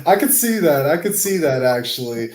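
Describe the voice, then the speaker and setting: A man's voice: medium pitch, big pitch swings, moderate pace, darkish tone. A man hosting a podcast interview, upbeat and affirming, often repeating his phrases. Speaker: podcast host. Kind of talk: casual interview. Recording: echoey room.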